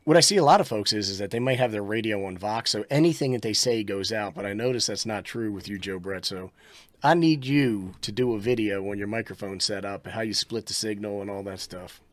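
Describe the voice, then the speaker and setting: Low-voiced man hosting a casual chat. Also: fairly quick. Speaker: online show host. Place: indoor home radio studio, on a video call. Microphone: close studio microphone on a boom.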